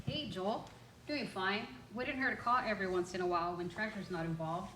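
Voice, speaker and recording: A woman's voice: mid-range voice, a little fast, sharp tone, a little hiss or hum, lightly acted, poor audio. A student actress playing a wry, game female ex-treasure hunter in an amateur radio play. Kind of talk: amateur radio theater acting.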